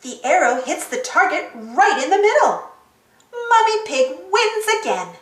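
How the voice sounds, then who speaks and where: High, very animated woman storyteller. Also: unhurried, reverberant, distant mic. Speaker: storybook reader, channel host. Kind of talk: expressive children's read-aloud narration.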